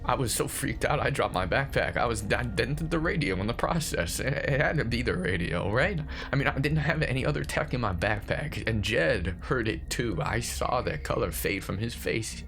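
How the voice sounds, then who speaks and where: A man's voice: mid-range voice, lively intonation, moderate pace, creaky, few pauses, fairly bright tone, soft background music. A man playing an anxious, grieving older brother recording confessional horror voice notes, jittery, stammering and questioning himself. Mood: anxious, puzzled. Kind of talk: found-footage horror audio monologue, confessional voice notes.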